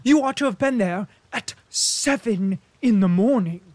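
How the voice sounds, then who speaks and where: A man's voice: high voice, lively intonation, unhurried, a little mellow, dry recording, voice pushed up. A man reading the part of a sardonic, witty diplomat, speaking with ironic, teasing emphasis. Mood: mocking. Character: sardonic witty male diplomat, mocking and urbane. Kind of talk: audiobook character reading.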